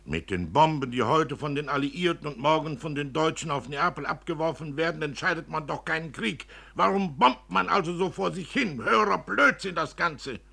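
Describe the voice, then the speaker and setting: Exasperated educated father voiced by a male reader, husky, raised pitch, scornful, radio drama narration. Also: animated, normal speed, hardly any pauses, sharp tone, full character voice. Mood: indignant. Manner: exasperated, rhetorical. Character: weary, indignant educated father, scornful of war.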